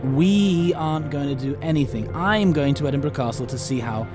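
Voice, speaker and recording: A man's voice: a little high, expressive, normal speed, music in the background, natural voice. A man playing an eccentric, flippant alien time traveller in an amateur audio drama, with a playful, muttering manner. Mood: firm, grave.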